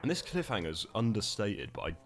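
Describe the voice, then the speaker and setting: A man chatting casually through a commentary on his own fan production, rambling and laughing, recorded on a cheap microphone. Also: fairly quick. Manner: rambling, laughing, lively pitch. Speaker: writer and director giving commentary. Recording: cheap mic, faint music.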